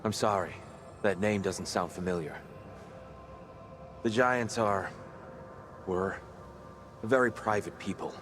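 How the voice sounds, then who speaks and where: A low-pitched, weary giant, humbled and subdued. He speaks gently and haltingly, in long reverb with game music behind him. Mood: weary, uncertain. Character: broken, weary, humble giant war god freed from captivity. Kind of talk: dramatic video game acting.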